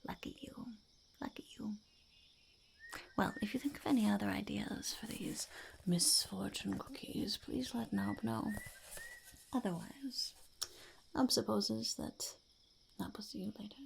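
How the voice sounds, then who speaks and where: A woman voicing a blunt, scheming female goblin entrepreneur in a soft, creaky ASMR roleplay, often slipping into a whisper. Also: medium pitch, measured pace, long pauses. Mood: smug, friendly. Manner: third-person self-reference, mock-grand, haggling. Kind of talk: ASMR roleplay, softly spoken to the listener.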